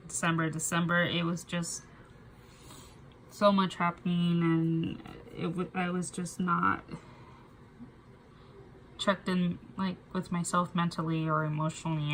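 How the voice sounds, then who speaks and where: A woman's voice: fairly low pitch, a little hiss or hum, low-quality recording. A young woman with a cold and a nasal voice, talking casually to camera and often stopping to restart her sentences with fillers. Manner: halting, soft, with many fillers and restarts. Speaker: budgeting vlogger. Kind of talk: casual personal talk to camera.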